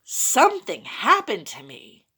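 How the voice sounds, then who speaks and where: A woman's voice: high-pitched, huge pitch swings, takes it slowly, bright, forceful tone, slightly rough, heavily acted. A woman voicing a nervous schoolboy who stammers through a long excuse. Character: stuttering schoolboy making up excuses.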